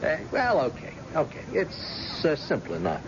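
A dull, noisy old radio recording of a blind ex-cop, proud and self-mocking. He talks in a folksy, halting way, his pitch moving freely as in classic radio drama. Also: wildly swooping pitch. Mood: teasing. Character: blind ex-police husband, proud, jovial, self-deprecating tough guy.

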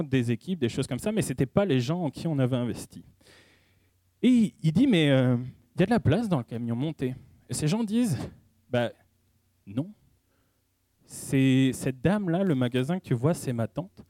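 A man giving a sermon in a conversational style, pausing often and restarting his sentences as he speaks. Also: fairly high pitch, mellow, no echo. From French-speaking. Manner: conversational preaching with frequent hesitations and restarts. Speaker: preacher.

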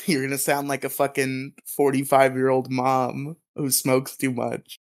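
This co-host of a casual podcast chats at a middling pitch. They laugh easily and answer with theatrical, exaggerated sympathy. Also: monotone, a little slow. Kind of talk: casual chat.

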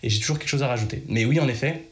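French guy chatting straight at you, mid pitch, roomy echo. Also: very fast. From France. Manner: chatty, direct, addresses listener informally. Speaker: podcast host. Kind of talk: casual advice monologue.

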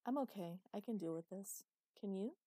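A woman's voice: mid-range voice, animated, normal speed, warm tone, dry recording, close to an everyday voice. A woman voicing an injured woman who speaks frankly and inquisitively in a storybook reading. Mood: matter-of-fact. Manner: matter-of-fact, inquisitive.

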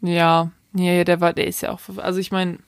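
A woman's voice: on the low side, flat delivery, fairly quick, gravelly, smooth, dry recording, studio quality. A young adult woman co-hosting a casual film chat, enthusiastic and slangy, laughing often. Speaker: podcast co-host.

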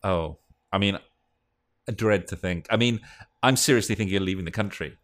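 A man with a somewhat low voice co-hosts a podcast. He talks in a casual, conversational way, as in an informal chat between two people. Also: a little fast. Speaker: podcast co-host.

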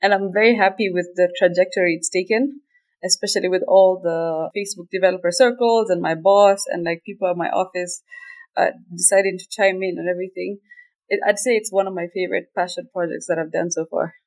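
A woman's voice: fairly low pitch, fairly quick, low-quality recording. Twenties woman from Kenya, easygoing interview guest with frequent laughter. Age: 20s. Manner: relaxed, laughs often. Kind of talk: casual interview.

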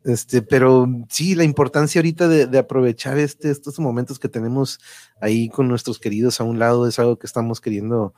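A man's voice: medium pitch, steady tone, brisk, darkish tone. A man with a Mexican accent hosting a casual chat in an informal, rambling way, often checking for agreement. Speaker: host.

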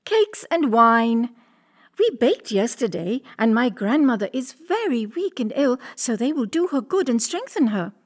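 Woman playing a sweet little storybook girl, high voice, innocent, polite, wide-eyed, lively intonation. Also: unhurried. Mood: polite, trusting.

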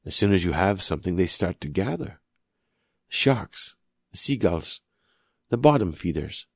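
A man's voice: deep voice, sing-song, moderate pace, breathy edge, no room sound, clean studio sound, heavily acted. A man voicing a menacing woman who taunts her captive with cool, knowing advice in an audiobook story. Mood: taunting.

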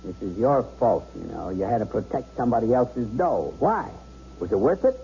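A man's voice: mid-range voice, big pitch swings, moderate pace, warm tone. An actor playing a cocky, volatile bank robber in a radio drama, with sarcastic, bossy, slangy tough-guy talk. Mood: menacing. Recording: dull, noisy 1970s radio broadcast.

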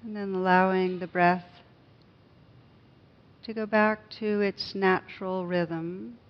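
A woman leading a guided meditation as a teacher, speaking gently and unhurriedly with pauses. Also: mid-range voice, fairly even intonation, lo-fi sound.

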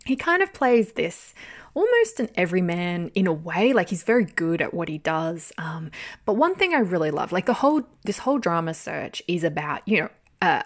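Young woman, lower register, casual rambling reviewer with self-aware laughs. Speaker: drama reviewer.

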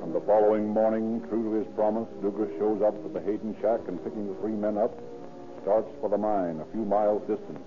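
A man's voice: fairly low pitch, little pitch movement, a little fast. A man narrating a scripted crime drama in 1940s radio style. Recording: tinny old radio broadcast. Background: prominent music.

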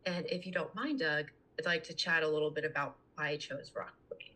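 A woman's voice: sits low, steady tone, a little fast, bright, forceful tone. A young adult woman talking casually as a podcast guest, earnest and chatty. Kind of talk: casual conversational interview talk.

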